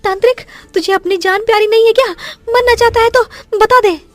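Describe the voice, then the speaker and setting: A woman voicing an angry, threatening witch who shouts her threats in a cartoon horror story. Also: extremely high pitch, fairly quick, pitched above the performer's own voice. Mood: furious, menacing.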